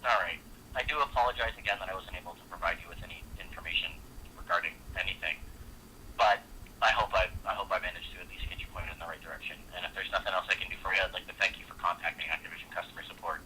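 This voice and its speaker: A man's voice: sits low, steady tone, racing, sharp tone. A man working as a customer support agent on a phone call, speaking in a polite, informal and apologetic way. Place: on the other end of a phone call. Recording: phone line with faint noise.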